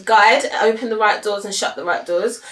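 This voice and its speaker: Chatty mum, echoey room from afar. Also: female voice, mid-range voice, normal speed. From United Kingdom. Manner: chatty, warm-hearted, repeats "obviously" and "do you know what I mean". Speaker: mother and family vlogger. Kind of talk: casual family chat to camera. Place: family home, indoors. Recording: roomy echo, voice heard from a distance.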